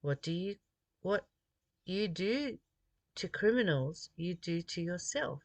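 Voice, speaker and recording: An earnest speaker from Australia reads a spiritual text aloud at a slow, deliberate pace. The sound is dry and close, with little room around it. Personal reflections are woven between the read passages. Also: medium pitch, expressive, no echo. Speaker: host reading and commenting on a spiritual text.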